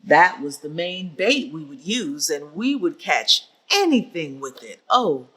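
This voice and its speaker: Slow, bright-voiced fearless country woman played by an actress. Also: low-pitched, very animated, husky, heavily acted. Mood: proud, nostalgic. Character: fearless older country woman recalling hunting and fishing. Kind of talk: staged reading of oral history recollections.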